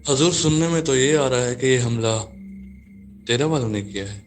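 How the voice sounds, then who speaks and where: A man's voice: medium pitch, moderate pace, faint music behind, natural voice. A man playing a worried messenger in an audio drama, reporting grim news respectfully and formally.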